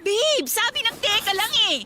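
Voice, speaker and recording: A woman's voice: very high voice, lively intonation, normal speed, heavy background noise. An actress playing a devoted, anxious young Filipina student girlfriend in a radio drama, pleading and affectionate. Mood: uneasy, protesting. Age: young adult. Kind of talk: Tagalog radio drama acting.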